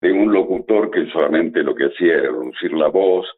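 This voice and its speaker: A man's voice: low voice, a little fast. A radio announcer, a man, talking reflectively in an interview. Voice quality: husky. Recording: thin phone-like line.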